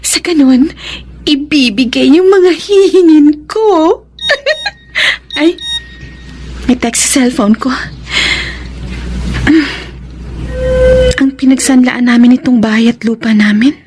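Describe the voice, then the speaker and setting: A woman's voice: high-pitched, darkish tone, a little hiss or hum. A Filipino woman playing a scheming young gold-digger in a radio drama soliloquy, smug and calculating.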